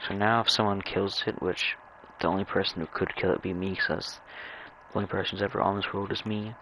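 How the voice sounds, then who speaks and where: A young man chatting casually and rambling over his gameplay, halting and stammering as he repeats words. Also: low-pitched, level tone, fairly bright tone, slight background noise, poor audio. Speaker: gamer narrating own gameplay.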